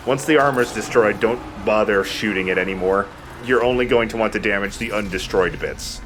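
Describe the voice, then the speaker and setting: A man's voice: on the low side, a little fast. A man giving casual, dryly joking commentary on a video game, with informal wording and some mild swearing. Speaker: let's play host. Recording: clear background noise.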